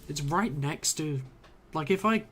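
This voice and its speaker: A man's voice: a little high, unhurried, fairly bright tone, slight background noise. A man streaming a video game, chatting casually and rambling with many fillers and trailing-off sentences, his voice a little strained from talking so long. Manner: hesitant, filler-heavy, trails off mid-sentence. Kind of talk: casual rambling chat while gaming. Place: home room at a computer.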